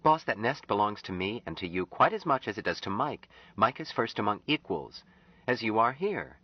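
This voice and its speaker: A man's voice: fairly low pitch, big pitch swings, moderate pace, no echo, pitched above the performer's own voice. A man voicing an earnest, pleading young woman. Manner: pleading, gently insistent. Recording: slightly dull lo-fi audio.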